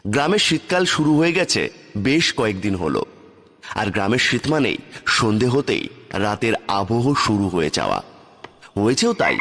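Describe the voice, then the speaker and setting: A man's voice: on the low side, fairly bright tone. A man narrating a horror story in the first person, in a dramatic scripted style. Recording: long reverb on the voice.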